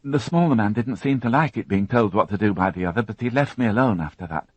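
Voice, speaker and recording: A man voicing a frightened young woman who witnessed a crime, tearful and halting, repeating her words as she talks. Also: on the low side, expressive, breathy edge, dry recording, voice pushed up. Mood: shaken.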